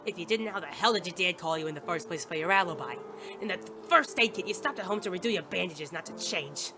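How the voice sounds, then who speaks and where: A hard-boiled detective of noir audio drama, acted by a man, pitched between low male and female range. He is blunt and wary yet lovestruck, speaking intimately over faint music. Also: animated, fast talker. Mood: accusing, angry. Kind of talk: noir audio drama with first-person narration.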